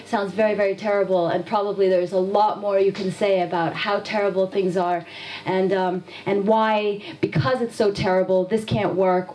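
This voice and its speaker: A woman from Afghanistan answers an interviewer directly, pushing back with pointed rhetorical questions. Her voice sits at an ordinary middle pitch. A faint hum runs under the recording. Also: darkish tone, low-quality recording. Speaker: guest from a nonviolence institute.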